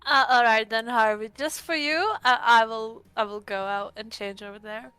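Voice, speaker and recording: A woman's voice: on the high side, fairly even intonation, normal speed, pushed and bright, smooth, no room sound, faint music behind. A woman playing a polite, mildly coy vampire newcomer in an improvised tabletop roleplay.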